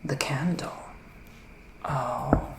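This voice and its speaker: A man playing a gentle, theatrical male witch psychic in an ASMR roleplay, speaking in a breathy, sighing, partly whispered hush. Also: medium pitch, very slow, reverberant, heavy background noise. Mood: welcoming, hushed. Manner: breathy, sighing, hushed, dramatic. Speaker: ASMR performer.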